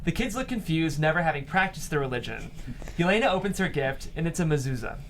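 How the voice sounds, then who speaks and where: A man narrating stage directions in an animated way at a script table read. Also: a little high, fast. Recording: room recording with faint noise.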